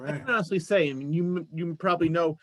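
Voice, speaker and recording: A man's voice: fairly high pitch, fast talker, no room sound. A man hosting a casual interview show, talking in an enthusiastic, complimentary way. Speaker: show host. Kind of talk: casual chat, interviewing guests.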